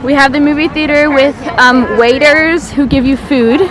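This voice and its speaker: A woman's voice: high voice, steady tone, moderate pace. A young woman chatting to her handheld camera as she vlogs outdoors, giggly and talkative. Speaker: vlogger. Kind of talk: casual vlog chat. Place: outdoors at a carnival and town center. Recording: outdoor camera audio with crowd and music.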